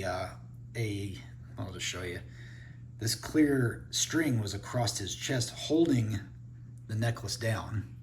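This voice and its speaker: A man's voice: lowish voice, a little hiss or hum. A man giving a casual unboxing review, thinking aloud as he handles the toys. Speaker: toy reviewer.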